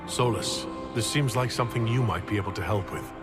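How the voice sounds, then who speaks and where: A man playing a steady, composed hero in a fantasy video game, level and direct in manner. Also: on the low side, brisk, music in the background, natural voice. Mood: calm, resolute.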